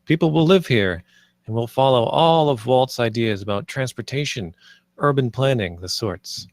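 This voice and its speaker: A man's voice: medium pitch, normal speed, no room sound, close to an everyday voice. A man playing an earnest, idealistic theme park planner in a screenplay table read, a little hesitant. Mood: proud, eager. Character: earnest, idealistic male theme park planner named Marty, nervous, visionary.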